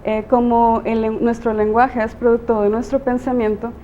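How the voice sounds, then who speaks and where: A woman's voice: on the high side, flat delivery, normal speed, dull audio, a little hiss or hum. A woman teacher with a Mexican Spanish accent, answering interview questions in a thoughtful, explanatory way with frequent hesitations. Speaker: teacher and guest.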